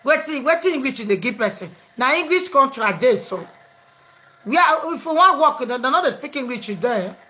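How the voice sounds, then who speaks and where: A Nigerian woman with a high, bright-edged voice hosts a live online show. She slides easily between pidgin and other tongues, chatting with callers and laughing often. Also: expressive, brisk, poor audio. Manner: mixes pidgin, English and local languages, laughing easily. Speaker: host of an online live program. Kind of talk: casual live chat with callers.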